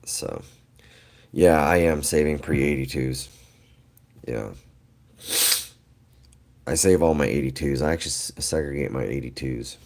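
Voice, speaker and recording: Middle-aged guy w/ allergies rambling slow on his live, laughs a lot, super deep voice. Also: gravelly, a little mellow. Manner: slow, rambling, laughs often, thanks viewers. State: allergies, voice lower than usual. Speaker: livestream host. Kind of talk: casual live chat with viewers.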